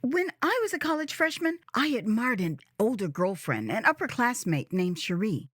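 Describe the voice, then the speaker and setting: A woman reading her own memoir as dramatized storytelling, with a theatrical, expressive and emphatic delivery. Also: a little high, moderate pace, slightly rough, dry recording, studio quality. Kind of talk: dramatized first-person storytelling.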